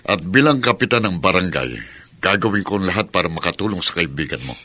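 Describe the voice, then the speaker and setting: An actor playing a concerned, helpful village captain in a radio drama, speaking earnestly and reassuringly. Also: male voice, low-pitched, expressive, fast, bright, forceful tone, a little hiss or hum.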